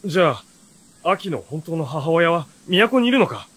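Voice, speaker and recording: An actor playing an earnest, sharp-witted teenage boy who is the hero and narrator of a radio drama. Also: fairly high pitch, big pitch swings, moderate pace. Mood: puzzled. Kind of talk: radio drama acting with first-person narration.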